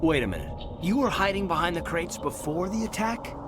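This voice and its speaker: A man's voice: high voice, sing-song, brisk. A man playing an alert young soldier in a video game, with music behind him. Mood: suspicious. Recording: clear music bed behind the voice.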